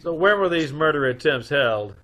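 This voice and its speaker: An American man playing a wry, reluctant middle-aged gentleman detective in an old-time radio comedy, delivered deadpan. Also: a little high, normal speed, rough recording. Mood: calm.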